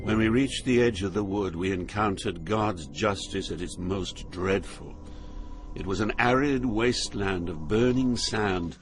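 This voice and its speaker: A man playing a poet who narrates his journey through hell in an audio drama, grave and deliberate. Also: fairly low pitch, background noise, close to an everyday voice. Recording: music behind the narration.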